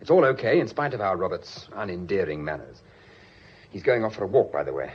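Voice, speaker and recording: An actor playing a flippant British gentleman suitor in a radio drama, with wry, clipped banter. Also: sits low, very animated, a little fast, slightly rough, dull audio, exaggerated voice. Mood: brisk, reassuring.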